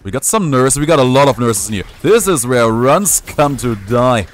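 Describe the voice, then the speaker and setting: A man's voice: mid-range voice, animated, normal speed. A young man streaming a game, giving playful, jokey live commentary and reading chat aloud. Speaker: gaming streamer.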